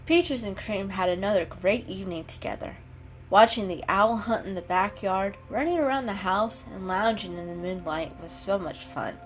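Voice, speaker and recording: A woman's voice: medium pitch, normal speed. Woman storyteller reading over music. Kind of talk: dramatic reading of a children's animal story. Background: background music.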